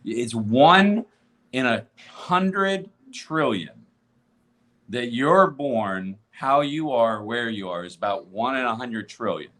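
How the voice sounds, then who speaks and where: A man from Tennessee with an American accent and a medium-pitched voice. He speaks as a podcast co-host, moving between casual discussion and conversational storytelling. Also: expressive, slow, a bit bright. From Tennessee, United States.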